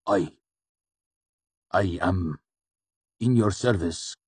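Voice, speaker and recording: A man's voice: low voice, very slow, a little mellow, husky, no echo, full character voice. A man voicing a cruel but servile henchman in a fantasy story, with a strained, gasping voice. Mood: wary, placating. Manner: choked, pleading, submissive.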